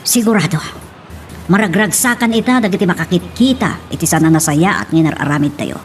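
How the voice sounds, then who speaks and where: A woman's voice: on the low side, expressive, brisk, faint music behind, natural voice. A woman with an Ilocano accent playing a grieving, remorseful middle-aged mother in an audio melodrama, lamenting dramatically. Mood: bitter remorse. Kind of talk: Ilocano radio-style melodrama acting.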